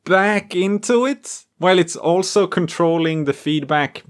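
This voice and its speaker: A man presenting in a casual, spontaneous way, excitable and playful as he thinks aloud through a demonstration. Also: sits high, measured pace, clear, resonant tone. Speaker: host and musician demonstrating homemade experimental gear. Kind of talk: casual spontaneous demo to camera.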